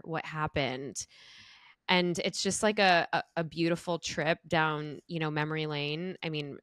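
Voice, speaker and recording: A woman hosting a podcast interview, talking with enthusiastic, halting praise and frequent restarts. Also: deep voice, a bit bright, no room sound, studio quality. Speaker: podcast host.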